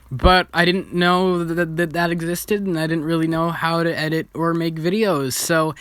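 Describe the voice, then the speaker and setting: A young man with a bright, forward voice recorded close and dry. He talks casually as a video host reacting to footage, informal and amused, gently poking fun at himself. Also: on the high side, dry recording. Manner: informal, amused, self-deprecating.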